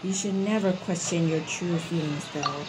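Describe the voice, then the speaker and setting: A woman hosting a gaming channel, giving casual playthrough commentary with a halting, stumbling delivery. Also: fairly low pitch, noisy background.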